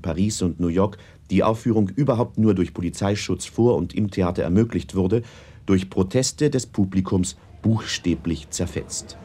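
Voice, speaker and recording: A man reading scripted radio documentary narration in a formal, deliberate manner. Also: sits low, warm tone.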